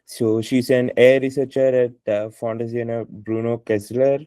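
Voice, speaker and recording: Flat-voiced male host introducing a guest. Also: mid-range voice, normal speed, no room sound. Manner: relaxed, with fillers.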